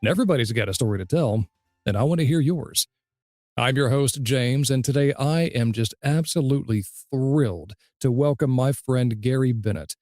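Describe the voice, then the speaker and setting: A man's voice: medium pitch, darkish tone, no room sound, studio quality. A man hosting an interview podcast, presenting introductions and questions in a friendly, conversational style.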